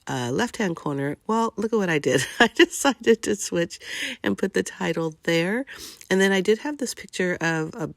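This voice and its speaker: Stuffy-nosed older woman, gravelly and chatty. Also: on the low side, no room sound. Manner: chatty and gushing, with frequent fillers. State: stuffy nose from a cold. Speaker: scrapbooking crafter. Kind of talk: voice-over narrating a crafting process video.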